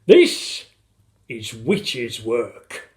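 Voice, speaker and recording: A reader acting a loud, bossy little storybook father. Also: mid-range voice, big pitch swings, slow, voice pushed up. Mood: indignant. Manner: loud, emphatic, commanding. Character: tiny man turned winged, bossy indignant father.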